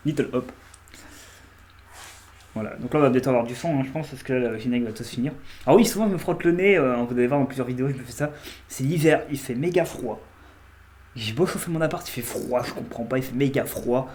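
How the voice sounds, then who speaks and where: A man commentating a horror game casually, with a slightly stuffy nose and a joking, chatty way of talking. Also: medium pitch. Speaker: gaming youtuber. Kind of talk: casual let's play commentary.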